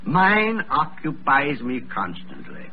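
A man's voice: a little high, sing-song, takes it slowly, full character voice. An actor playing a miserly, bad-tempered old businessman in an old-time radio drama, scolding and muttering. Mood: cold, contemptuous. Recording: muffled, noisy vintage radio recording.

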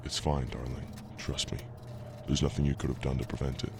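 An actor playing an obsessive visionary inventor and devoted husband in an audio drama, with a grave, measured delivery and a gravelly voice over a noisy recording. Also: male voice, extremely low pitch, level tone. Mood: sorrowful. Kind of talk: audio drama acting, partly recorded log narration. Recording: noisy amateur podcast recording.

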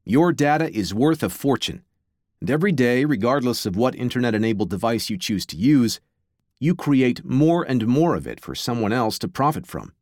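An American man reading scripted nonfiction as an audiobook narrator, in a measured, clearly enunciated and slightly wry way. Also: mid-range voice, sing-song, a little mellow, no room sound, clean studio sound. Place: dry, close studio recording.

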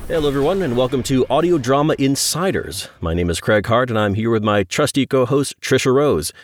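A man's voice: medium pitch, animated, a little fast, a little hiss or hum. A middle-aged man hosting a podcast in a casual, chatty interview style on a close mic. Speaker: podcast host.

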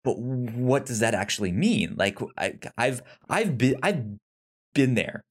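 A man co-hosting a podcast, talking casually about film in a halting, rambling way with many restarts. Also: medium pitch, very animated. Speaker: podcast co-host.

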